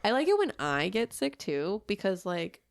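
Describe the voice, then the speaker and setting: A woman's voice: medium pitch, big pitch swings, normal speed, vocal fry. A young adult woman co-hosting a podcast in casual chat, playful and teasing, often laughing. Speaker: podcast co-host.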